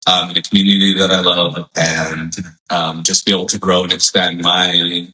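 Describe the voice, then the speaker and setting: A man in his forties, from Hawaii, answers interview questions in a friendly, unhurried way, pausing on fillers. His voice sits very low yet sounds bright and forceful. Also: flat delivery, low-quality recording. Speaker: physical therapist guest. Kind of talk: casual interview.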